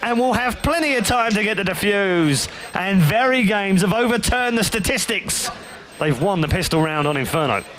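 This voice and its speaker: A man commentating a live esports match, heavy on stats and building to excited play-by-play calls. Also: high voice, moderate pace, slight background noise.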